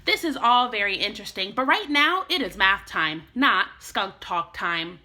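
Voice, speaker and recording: A patient, firm male schoolteacher from a children's storybook, read by a woman. The delivery is bright and measured, with an instructive classroom manner. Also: mid-range voice, animated.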